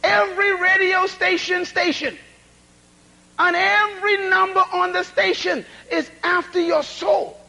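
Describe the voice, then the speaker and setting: A man preaching a sermon, calling on the congregation for responses and driving points home through repetition and rhetorical questions, often dropping to low asides between loud bursts. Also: fairly even intonation, a little slow, creaky, rough recording. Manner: swings between shouted emphasis and low asides.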